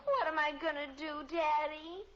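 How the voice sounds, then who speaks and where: An actress playing an earnest, guileless little American daughter in a mid-century radio sitcom, innocent and plaintive. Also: female voice, high voice, normal speed, a bit bright. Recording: dull, noisy old radio recording.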